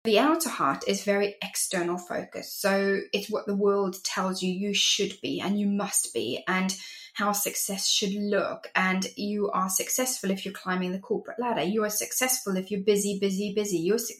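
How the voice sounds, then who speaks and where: A woman's voice: mid-range voice, fairly even intonation, normal speed, fairly bright tone, faint music behind. Woman, 40s, from Zimbabwe, life coach, interview guest, reflective, earnest. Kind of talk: interview storytelling.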